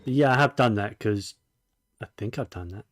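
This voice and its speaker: A man giving casual gameplay commentary, musing to himself in a low-key way. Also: moderate pace, no echo. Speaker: gaming streamer. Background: faint game music.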